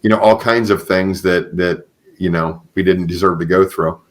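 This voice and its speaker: A man's voice: low voice, steady tone, moderate pace. A man hosting a podcast in a conversational interview style, speaking affirmingly and repeating phrases for emphasis. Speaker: podcast host. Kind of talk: conversational interview with religious encouragement.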